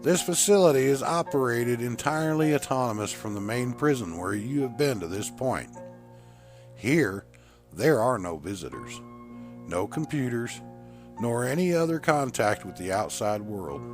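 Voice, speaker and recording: A man's voice: medium pitch, expressive, moderate pace, faint music behind, natural voice. A man voicing a cold, smug prison warden in a horror audiobook, formal, condescending and emphatic. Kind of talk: dramatic horror audiobook reading.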